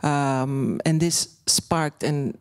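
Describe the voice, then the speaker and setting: A woman from Greece, a documentary filmmaker and journalist, speaking on a panel in a measured way, with frequent fillers and restarts. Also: low voice. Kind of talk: panel discussion talk.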